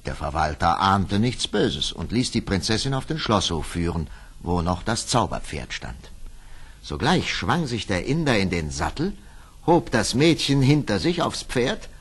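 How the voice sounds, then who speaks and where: A man's voice: fairly low pitch, big pitch swings, measured pace, husky. A man narrating a scripted fairy tale as the storyteller of an audio play.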